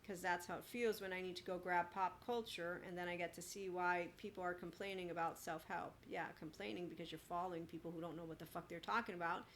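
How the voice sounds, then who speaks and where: A woman's voice: on the low side, steady tone, fast talker, hardly any pauses, a bit bright, slight background noise. A woman in her forties giving an opinionated solo monologue as a podcast host, blunt, emphatic and sarcastic. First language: bilingual with Italian. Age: 40s.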